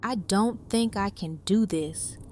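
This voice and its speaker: A woman playing a shattered, grieving survivor in an audio drama, subdued and halting. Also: medium pitch, darkish tone, slight background noise, close to an everyday voice. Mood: despairing.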